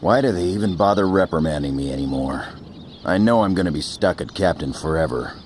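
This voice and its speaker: A man's voice: lively intonation, brisk, a little mellow, a little hiss or hum. A man playing a weary, jaded air force captain in a video game, talking in a wry, resigned, colloquial way. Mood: wry, gruff. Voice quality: deep. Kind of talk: game cutscene voice acting.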